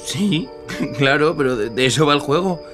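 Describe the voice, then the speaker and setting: An actor playing a teasing, sceptical composer in a radio drama, bantering theatrically. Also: male voice, fairly high pitch, lively intonation, moderate pace, music in the background, close to an everyday voice. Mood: puzzled. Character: teasing, sceptical male composer, a playful close friend.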